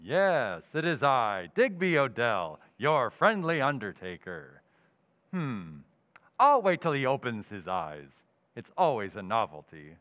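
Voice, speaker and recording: Genteel, morbid undertaker for radio comedy: smooth, unhurried, sweeping intonation. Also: male voice, mid-range voice, very animated, dry recording, phone-quality sound. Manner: grandiose, drawn-out, funereal delivery. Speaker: radio drama actor. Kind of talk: old-time radio comedy acting.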